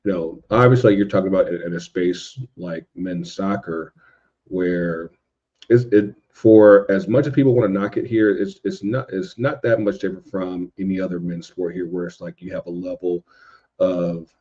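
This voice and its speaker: A man with a deep voice talks casually in a podcast discussion. He speaks haltingly, restarting and repeating words as he thinks. The recording is dull and lo-fi. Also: mellow. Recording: dull, lo-fi microphone sound.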